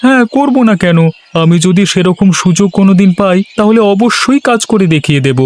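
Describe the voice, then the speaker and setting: A man's voice: high-pitched, expressive, fairly quick. A man voicing a weary but self-assured village woodcutter in a cartoon, chatting and grumbling. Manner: conversational, grumbling. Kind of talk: cartoon story voice acting. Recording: music behind the voice.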